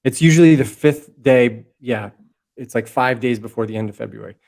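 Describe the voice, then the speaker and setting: A man in a heated podcast conversation, his mid-pitched voice pushing forward with passion. He rambles, restarts sentences and swears casually as he lays out his theories. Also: normal speed, vocal fry, darkish tone. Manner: impassioned, profane, rambling with restarts. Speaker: podcast guest.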